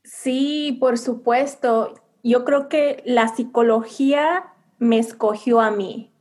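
Earnest woman with a high voice. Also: takes it slowly, a little mellow. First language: Spanish. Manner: earnest and reflective, with frequent restarts. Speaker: psychologist and coach, guest. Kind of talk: conversational interview, personal storytelling.

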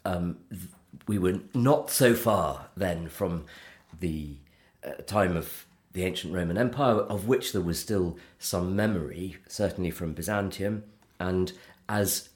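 A man's voice: low voice, measured pace. A middle-aged man, a Church of England vicar, hosting a theology podcast and speaking in an earnest, articulate way. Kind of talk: conversational theological discussion.

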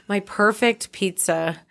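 A woman's voice: medium pitch, slow pace, gravelly, fairly bright tone. A middle-aged woman chatting casually as an interview guest. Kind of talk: casual chat.

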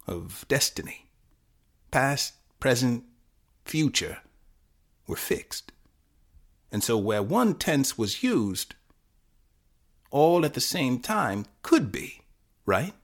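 A man playing a confused, fatalistic teenage boy who narrates his own short story in halting, self-correcting fragments. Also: medium pitch, big pitch swings, full character voice. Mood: nervy, muddled. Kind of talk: first-person dramatic short-story performance.

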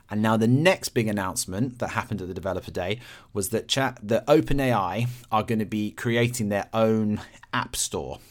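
A man hosting a podcast, talking casually and explaining things in simple, everyday terms. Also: lowish voice. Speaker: podcast host.

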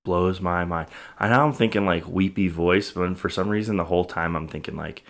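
A man's voice: deep voice, fairly quick, gravelly, a bit bright. An American man co-hosting a podcast, reacting casually to a story. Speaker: podcast co-host.

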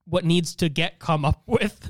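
A man co-hosting a casual podcast chat, talking in halting bursts peppered with "like". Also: on the high side, dry recording, studio quality. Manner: halting, heavy use of "like". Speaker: podcast co-host.

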